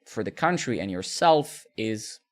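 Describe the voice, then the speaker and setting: A man's voice: on the low side, very animated, a little slow. A man, chatting casually with viewers during a live coding stream, rambling and repeating words. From Serbia.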